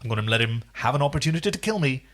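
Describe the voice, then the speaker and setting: Casting: male podcast co-host, medium pitch, enthusiastic and explanatory, chatting informally. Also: lively intonation, racing, fairly bright tone. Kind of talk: casual TV episode recap chat.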